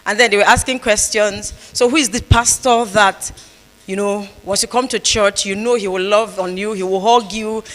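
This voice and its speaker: A woman's voice: mid-range voice, steady tone, normal speed. A woman pastor giving a conference talk, telling stories with humor and repeating phrases for emphasis. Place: conference hall. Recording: long reverb of a large hall.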